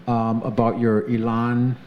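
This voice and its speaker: A man hosting a talk show, interviewing a guest in an earnest, halting way with many restarts. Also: mid-range voice, monotone, highs cut off. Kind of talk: conversational interview.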